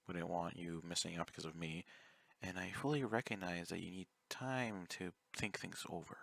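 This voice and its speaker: Casting: male actor as obsessive yandere stalker for ASMR roleplay; low, close and dry, coaxing, politely menacing. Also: steady tone, moderate pace, gravelly, a bit bright, no echo, exaggerated voice. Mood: gentle, reassuring. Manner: intimate, coaxing, courteous while threatening. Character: obsessive, clingy, soft-spoken young male yandere fan, politely menacing.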